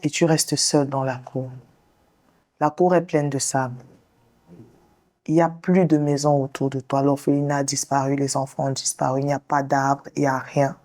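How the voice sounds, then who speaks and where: A woman therapist hosting the show, leading a guest through a slow guided visualization and counseling talk in a soothing, directive way. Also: extremely low pitch, little pitch movement, darkish tone. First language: English. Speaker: sex therapist and show host.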